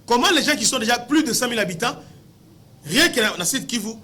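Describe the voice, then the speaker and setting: A man's voice: very high-pitched, animated, fast talker, sharp tone, breathy edge. A man with a Congolese accent giving impassioned political commentary, emphatic and full of rhetorical questions, often repeating words as he searches for the next. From Democratic Republic of the Congo.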